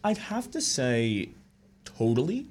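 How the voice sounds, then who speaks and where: A young man, a musical theatre student, speaking as a radio guest in a relaxed, halting way full of fillers. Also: medium pitch, slight background noise. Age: young adult. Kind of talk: casual radio interview chat.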